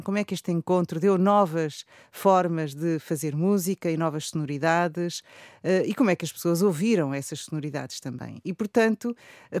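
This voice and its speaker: A woman's voice: on the low side, a little mellow, no echo, clean studio sound. A woman researcher explaining a project on the radio, thoughtful and a little hesitant. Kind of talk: reflective radio talk.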